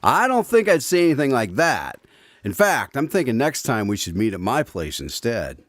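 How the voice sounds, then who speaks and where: An actor playing a mild, good-natured guest and announcer in a scripted radio drama. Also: male voice, medium pitch, sing-song, normal speed, no room sound, natural voice. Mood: mild, wry. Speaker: narrator and credits announcer. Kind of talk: scripted radio drama acting and closing credits.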